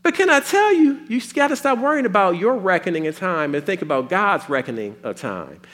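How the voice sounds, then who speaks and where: A man preaching a sermon in a folksy, animated way with playful asides. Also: high voice, brisk.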